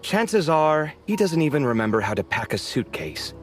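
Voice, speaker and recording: Kaveh, an exasperated, expressive young architect. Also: male voice, on the high side, moderate pace, faint music behind. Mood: friendly. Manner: animated, sarcastic, bickering. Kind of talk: video game cutscene voice acting.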